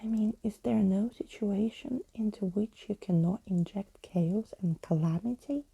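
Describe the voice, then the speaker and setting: A woman whispers as an exasperated skeleton in a storybook. The delivery is hushed and mellow, reproachful and wordy, like a weary companion telling someone off. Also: medium pitch, a little slow, vocal fry, breathy edge, no room sound, highs cut off.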